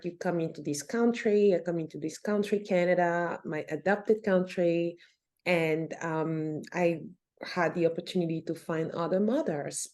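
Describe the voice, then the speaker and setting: Lower-voiced woman from Cameroon, reflective. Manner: warm, reflective, many fillers and restarts. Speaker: podcast guest, nonprofit founder. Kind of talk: casual interview conversation.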